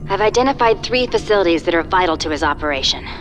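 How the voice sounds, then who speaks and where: A woman's voice: fairly low pitch, expressive, quick pace, background noise, close to an everyday voice. A woman voicing a cool, determined psychic covert agent in a video game, controlled and military in tone. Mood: businesslike briefing. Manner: controlled, crisp, military briefing tone. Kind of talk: video game cutscene acting.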